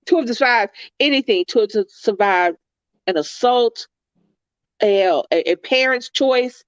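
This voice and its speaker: A congested woman talks earnestly in a casual chat, circling back over her own phrases as she reflects. Her voice sits high. The sound is dry and a little dull, with a lo-fi edge. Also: a little slow, smooth, no room sound. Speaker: co-host.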